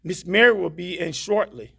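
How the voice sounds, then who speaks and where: A woman's voice: lowish voice, lively intonation, normal speed, gravelly, a bit bright, slightly rough, full character voice. A woman reading the part of a dutiful but cheeky housemaid in a stage play, polite and matter-of-fact. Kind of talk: stage play table read.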